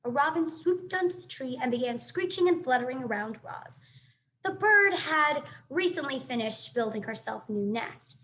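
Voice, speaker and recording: A woman reading a children's book aloud in an expressive, animated storytelling manner. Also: sits high, moderate pace. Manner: animated, dramatic sound effects and asides.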